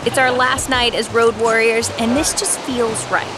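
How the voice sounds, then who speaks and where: High-voiced upbeat woman vlogging outdoors. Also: steady tone, moderate pace, low-quality recording. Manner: upbeat, breezy, laughing easily. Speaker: travel vlogger. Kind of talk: casual travel vlog. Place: outdoors on a riverside ledge. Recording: loud music and crowd behind.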